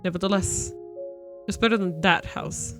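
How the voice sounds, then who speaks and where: A woman streamer reads a visual novel aloud in a steady, measured narration at a middling pitch. Quiet music sits underneath her voice. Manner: steady narration with playful retorts.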